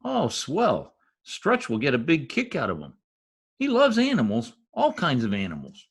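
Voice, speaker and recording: A man's voice: medium pitch, wildly swooping pitch, normal speed, slightly rough, full character voice. A man playing an eager, mischievous teenage schoolboy in an old-time radio comedy, with an earnest, gushing manner and flowery words.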